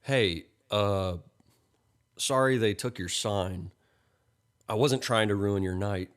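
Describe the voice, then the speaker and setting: A man's voice: lowish voice, takes it slowly. A man voicing a nervous, awkward, blunt young man in a narrated story. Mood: awkward, calmer.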